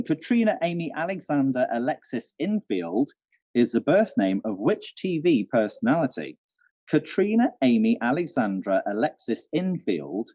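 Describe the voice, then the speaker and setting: A man's voice: mid-range voice, warm tone, no echo. A man hosting a casual live quiz from home, chatty and repeating questions for listeners, on a dull livestream recording. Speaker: quiz host, theatre venue manager.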